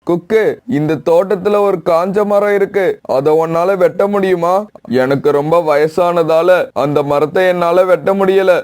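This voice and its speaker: A man's voice: high voice, steady tone, normal speed, darkish tone, natural voice. A man voicing a kindly old pigeon who owns an orchard, in a children's cartoon story. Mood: persuading. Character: old male pigeon orchard owner, kindly, asking for help.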